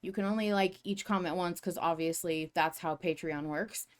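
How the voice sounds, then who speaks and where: A woman hosting a podcast, chatting and explaining in an informal, playful, expressive way. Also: on the low side, moderate pace. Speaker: podcast host. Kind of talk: casual fan chat and explanation.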